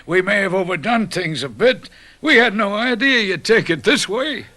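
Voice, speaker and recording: A jovial company boss who chuckles between his sentences. His voice is high and bright and moves freely up and down. Radio-drama acting. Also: expressive, moderate pace. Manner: hearty and chuckling.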